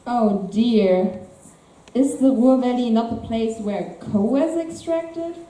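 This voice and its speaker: A woman's voice: fairly high pitch, takes it slowly, dark tone. A woman playing a government official who addresses an audience formally in an amateur stage performance. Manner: formal, speech-like. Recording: echoey room from far off, faint noise.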